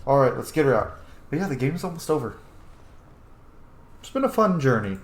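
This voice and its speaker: A man hosts a game roleplay with casual, chatty commentary. His mid-pitched voice is very lively in its intonation. The sound is a little echoey, with faint noise and ambience behind it. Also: brisk. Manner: informal, chatty, swears casually. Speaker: host of a game roleplay playthrough. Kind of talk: casual let's-play commentary. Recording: roomy echo, faint background noise.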